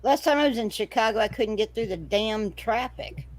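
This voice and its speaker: A woman's voice: a little high, moderate pace, rough recording. A woman on a livestream panel, chatting casually and tossing in teasing asides.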